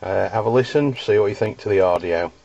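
Deep-voiced English man, halting delivery. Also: gravelly, darkish tone, dull audio. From United Kingdom. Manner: halting, hesitant phrasing. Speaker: CB radio enthusiast reviewing gear. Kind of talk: hobbyist product demonstration.

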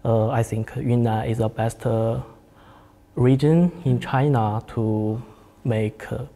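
Student panelist, male, early twenties: dark mellow tone, halting delivery, roomy reverb. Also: mid-range voice, fairly even intonation. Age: early 20s. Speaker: student guest, coffee club leader. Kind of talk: panel discussion. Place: large indoor cafe-like room. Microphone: lapel.

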